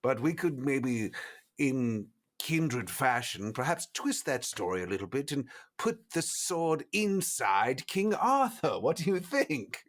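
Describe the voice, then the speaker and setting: Man playing a slow, lilting, sly vampire. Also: mid-range voice, sing-song. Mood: sly menace. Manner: hesitant, musing, mock-polite.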